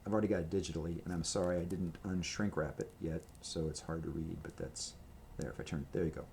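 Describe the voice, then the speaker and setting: A man with a lowish voice chats to a camera in his home, showing off items he owns. He wanders from point to point, restarts his sentences and fills the gaps with ums and you-knows. Faint room ambience sits under his voice. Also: fairly even intonation, quick pace, vocal fry, mellow, slight background noise. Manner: chatty, meandering, many fillers and restarts. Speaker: vlogger showing his merch. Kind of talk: rambling casual show-and-tell. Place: home room with gray walls and a red accent wall. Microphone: camera microphone, speaking to camera at a table.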